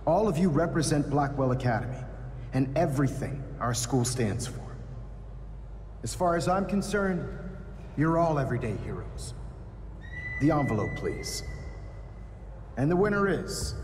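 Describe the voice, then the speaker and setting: A man playing a suave, encouraging art teacher in a video game, polished but with small hesitant pauses. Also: a little high, animated, darkish tone. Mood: showy, public. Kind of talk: video game cutscene dialogue and stage announcement. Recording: echoey hall, crowd and noise behind.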